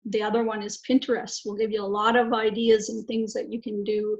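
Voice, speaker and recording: A woman who works as a mental health professional, giving a presentation of tips with a hoarse voice from allergies, talking in a chatty way with lots of fillers. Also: medium pitch, flat delivery, brisk, highs cut off. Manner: chatty, warm asides with many fillers.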